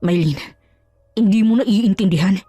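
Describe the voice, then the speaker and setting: A woman's voice: medium pitch, normal speed, dark tone, breathy edge, no echo, faint music behind, lightly acted. A woman voicing a cheating husband in an audio drama, subdued, evasive and pleading. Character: cheating husband, uneasy, placating, evasive adult man.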